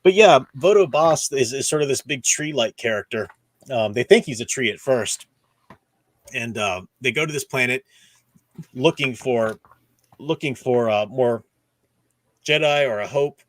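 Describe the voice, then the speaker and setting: A man's voice: medium pitch, a little slow, pauses often, dry recording. Casual man hosting a fan discussion. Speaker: host of a book discussion stream. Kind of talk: casual discussion and plot recap.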